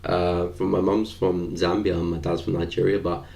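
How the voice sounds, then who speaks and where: A young man with a very low voice and a gravelly, creaky texture, speaking. He talks in a relaxed, thinking-aloud way, as if he were commenting on a live stream. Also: normal speed. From London, UK.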